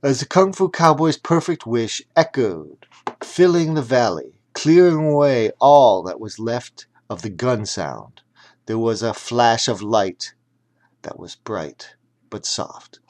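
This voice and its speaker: A man narrating a children's audiobook dramatically, in deliberate, emphatic, broken phrases. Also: medium pitch, expressive, darkish tone.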